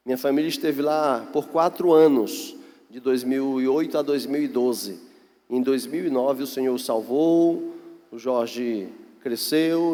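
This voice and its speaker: A Brazilian man speaks as a pastor presenting missions to a congregation. His voice sits a little high for a man, and he explains earnestly and without hurry, pausing as he goes. The sound carries the long reverb of a hall. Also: a little mellow. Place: large echoey church hall.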